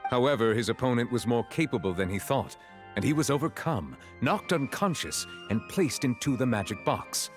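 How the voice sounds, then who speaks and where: An actor playing a formal, authoritative chief justice in a video game, speaking precisely and courteously. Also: male voice, mid-range voice, lively intonation, moderate pace, music in the background, lightly acted. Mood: solemn, explanatory.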